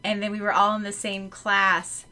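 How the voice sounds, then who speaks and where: A woman's voice: medium pitch, normal speed. A woman co-hosting a podcast at home, telling stories with giggles and lots of "like". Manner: giggly, animated storytelling. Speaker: podcast co-host. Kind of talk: casual chat.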